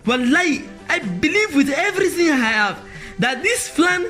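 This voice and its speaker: An actor playing a scheming, excitable political plotter with a Nigerian accent in a radio drama. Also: male voice, extremely high pitch, moderate pace, faint music behind, heavily acted. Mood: fervent, conspiratorial.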